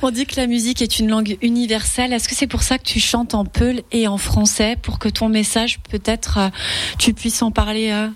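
A woman's voice: fairly high pitch, level tone, normal speed, no echo. A woman interviewer asking her guest questions in an encouraging, casual way.

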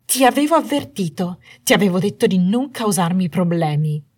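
A woman's voice: medium pitch, lively intonation, moderate pace, darkish tone, husky. A woman narrator voicing a bitter young wife in an audiobook story, menacing and manic with jealousy, snarling and trembling with cold fury. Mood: menacing, cruel. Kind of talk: dramatised audiobook dialogue.

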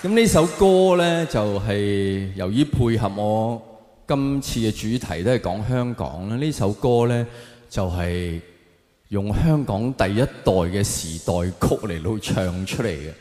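A man's voice: fairly low pitch, animated, unhurried, a little mellow. A man with a Hong Kong Cantonese accent performs live stand-up comedy, talking conversationally and directly to his audience on stage. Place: on stage in a large indoor venue. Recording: echoey large hall with long reverb.

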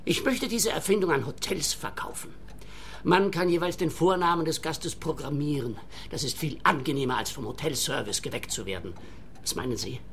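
A man's voice: on the high side, normal speed, a bit bright, a little hiss or hum. An actor playing an earnest, self-convinced inventor and entertainer in a radio play, eager and insistent like a salesman, with a Swiss accent.

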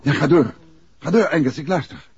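An actor playing a decisive, commanding gentleman detective in a 1960s radio drama. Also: on the high side, brisk, natural voice. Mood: urgent, coaxing. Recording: dull vintage radio drama recording.

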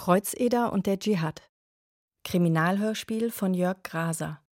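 A woman's voice: mid-range voice, unhurried. A woman reading the title and credits of a radio crime play as a scripted announcement.

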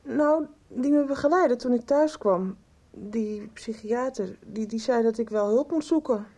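A withdrawn, troubled youngster in a radio drama. The voice is fairly high, husky and mellow, halting as it avoids the point. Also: female voice. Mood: hesitant, troubled. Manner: hesitant, halting, evasive. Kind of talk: radio soap acting.

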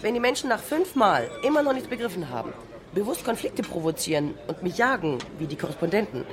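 A woman voicing a combative blogger, very animated. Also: mid-range voice, a little fast, a crowd in the background, lightly acted. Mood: self-assured, defiant. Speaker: voice-over speaker for an interviewee. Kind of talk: translated interview voice-over.

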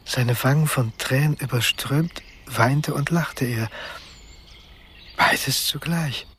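An actor playing a sensitive, withdrawn young man, narrating his memories in a reflective, measured way in a literary radio drama. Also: mid-range voice, slight background noise. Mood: quiet, melancholic. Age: young adult. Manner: reflective, measured, hushed at times.

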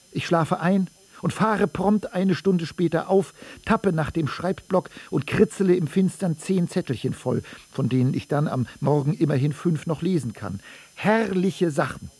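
A man reading dated letters and notes aloud in a radio production, measured and matter-of-fact. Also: a little high, a little mellow, husky, no echo. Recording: dry studio.

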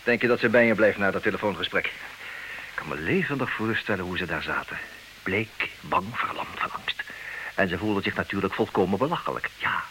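An actor playing a smug, calculating jealous husband in a comic radio play, with a theatrical, declaiming manner. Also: male voice, low voice, fairly quick, sharp tone, low-quality recording. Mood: smug triumph. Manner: theatrical, self-satisfied, declaiming. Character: smug, calculating jealous husband, self-styled expert on women. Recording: hissy old radio play recording.

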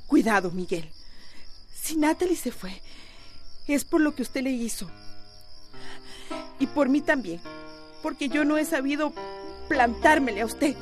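A woman's voice: sits high, lively intonation, measured pace, long pauses, over music. An actress with a Costa Rican accent playing Vicky, an anxious, remorseful mother in a radio soap opera. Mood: defiant, remorseful.

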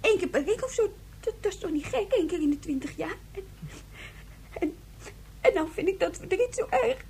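A female performer playing an upset, anxious young child in a radio drama, halting and plaintive. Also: extremely high pitch, animated, mellow. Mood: tearful.

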